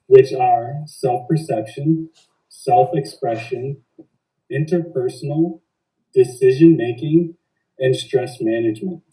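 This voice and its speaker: A man hosting a podcast, speaking in a measured, formal way. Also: medium pitch, mellow, recorded from a distance, rough recording. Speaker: podcast host. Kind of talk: semi-scripted podcast hosting.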